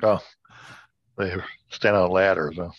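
A man with a fairly low voice chats and tells jokes at a slow, unhurried pace as a podcast co-host. The sound is a little dull, with music clearly behind him. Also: steady tone. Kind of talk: casual banter and joke telling.

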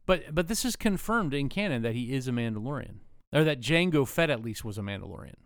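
Lively, clear-voiced man. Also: medium pitch, fast, no room sound, clean studio sound. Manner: earnest, insistent arguer. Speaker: podcast co-host. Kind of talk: casual fan banter.

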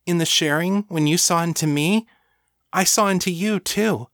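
Servile female thrall for fantasy audiobook, played by a man: lifted pitch, earnest, worshipful, self-effacing. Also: moderate pace, fairly bright tone, no room sound, studio quality. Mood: adoring, reverent. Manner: earnest, reverent, submissive.

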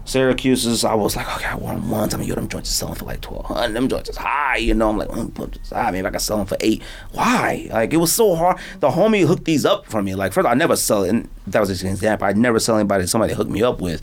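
A man's voice: fairly low pitch, fast talker, few pauses. A middle-aged American man hosting a podcast, chatting casually in slangy, rambling anecdotes. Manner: slangy, animated storytelling with frequent "man" and "fam". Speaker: podcast host. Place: indoor podcast studio.

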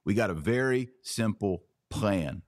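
A man's voice: lowish voice, animated, a little slow, no room sound, studio quality. A man hosting a conversational religious debate podcast, arguing emphatically with hypothetical examples. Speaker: podcast host.

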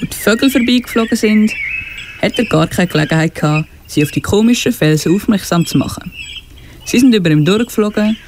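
Young storyteller, Swiss German accent, scripted narration, medium pitch, noisy amateur recording. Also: expressive, a little fast. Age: child or teenager.

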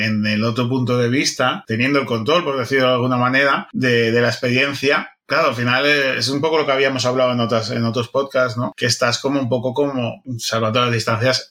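A man with a very bright, forward voice and an accent from Spain hosts a casual podcast chat. His pitch sits in the middle range. He talks reflectively, feeling for the right word and often seeking agreement. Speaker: podcast host.

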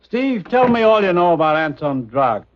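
Animated, authoritative American commissioner. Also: male voice, sits high, normal speed. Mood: businesslike. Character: businesslike, authoritative male intelligence commissioner. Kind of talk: 1950s television spy drama acting. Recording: dull vintage TV soundtrack.

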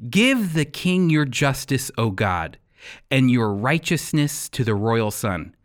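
An American man teaching the Bible like a radio preacher, with emphatic, deliberate phrasing and rhetorical questions. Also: mid-range voice, big pitch swings. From United States. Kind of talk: sermon-style Bible teaching with scripture reading.

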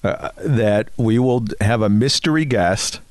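A man's voice: fairly low pitch, unhurried, no room sound, clean studio sound. A man co-hosting a podcast, telling anecdotes casually in a jokey, self-deprecating way. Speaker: podcast co-host.

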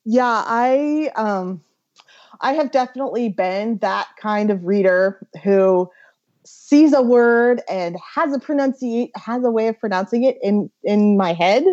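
A woman chatting casually as a guest author on a podcast, giggly and animated, peppering her storytelling with "like". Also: a little high, measured pace, lo-fi sound.

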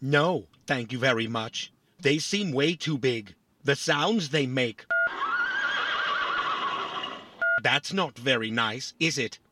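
An actor playing a small, vain, philosophical robot in a family audio drama, boastful and politely fussy. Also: male voice, mid-range voice, animated, measured pace, heavy background noise, natural voice.

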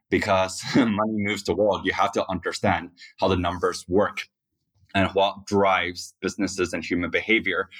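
A man in his thirties from Taiwan, a startup founder giving measured answers in an interview. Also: sits low, a bit bright.

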